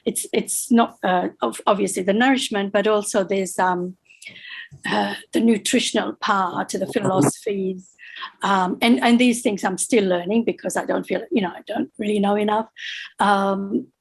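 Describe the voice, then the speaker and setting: A woman in her sixties, from Fiji, speaks over a video call from her home. Her voice has a gravelly, creaky edge at a middle pitch. She talks thoughtfully, with frequent ums and restarts. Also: fairly even intonation, normal speed, rough recording. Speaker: guest, author. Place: home room with bookshelf. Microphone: video call webcam.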